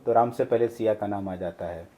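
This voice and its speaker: A man's voice: lowish voice, a little fast, warm tone. A man hosting a casual interview podcast in a teasing, jovial manner, recorded with some faint background noise. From Awadh, north India. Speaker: podcast host.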